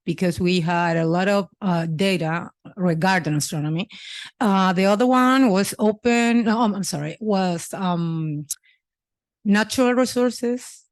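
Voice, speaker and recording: A woman's voice: medium pitch, dry recording. Chilean woman speaking hesitantly, dry sound. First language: Spanish. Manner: hesitant, searching for words. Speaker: conference participant, executive director of an institution. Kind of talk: unscripted remarks at a conference discussion.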